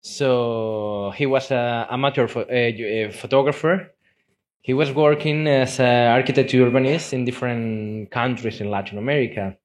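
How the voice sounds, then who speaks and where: A man's voice: mid-range voice, fairly even intonation, normal speed. A man from Spain and Uruguay with a Spanish accent, a native Spanish speaker, giving an informal slideshow talk as a documentary photographer, often repeating words and restarting his sentences. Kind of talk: informal slideshow presentation to an audience.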